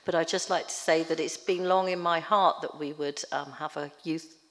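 Woman councillor, croaky, very low voice, earnest, hall reverb. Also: steady tone, moderate pace.

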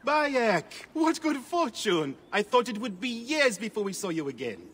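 An actor playing a genial middle-aged temple priest, courteous and effusive. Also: male voice, very high-pitched, sing-song, moderate pace, fairly bright tone, a little hiss or hum, heavily acted.

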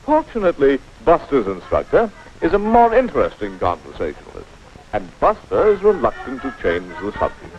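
An actor playing a pompous mock-heroic narrator of a 1940s cartoon, florid and theatrical. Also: male voice, medium pitch, huge pitch swings, brisk. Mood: arch, breezy. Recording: muffled 1940s film soundtrack, music behind.

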